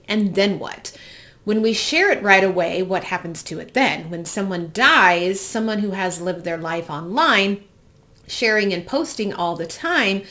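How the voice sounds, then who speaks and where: A woman's voice: fairly low pitch, a bit bright. An American woman in her forties from the Midwest, hosting with a personal, reflective monologue. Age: 40s. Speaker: host.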